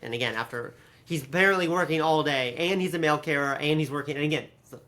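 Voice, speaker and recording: Bright, slightly high-voiced sardonic man. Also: fast, a little hiss or hum. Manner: animated, slangy, acts out imagined reactions. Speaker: podcast host. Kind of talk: casual chat with sardonic commentary.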